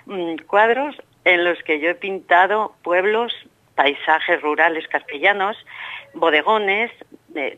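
A woman's voice: on the low side, fairly bright tone. A middle-aged Spanish woman from Castile, a painter talking about her work in a radio interview, hesitant with many fillers and restarts. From Spain, Castile. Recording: muffled phone line.

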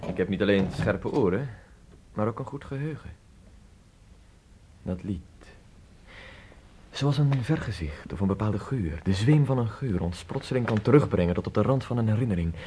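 An actor playing an inquisitive, courteous Spanish traveller of nearly forty in a radio drama, speaking formally and wordily. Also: male voice, fairly low pitch, lively intonation, normal speed, pauses often, warm tone, natural voice. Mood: wistful, probing. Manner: formal, wordy, probing. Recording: noisy old radio play recording.

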